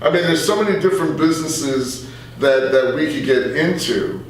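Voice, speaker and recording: An older man reflecting in a casual interview as a veteran radio guest, animated and repeating phrases for emphasis. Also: fairly high pitch, moderate pace, recorded from a distance. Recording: very echoey room, off-mic, faint hum.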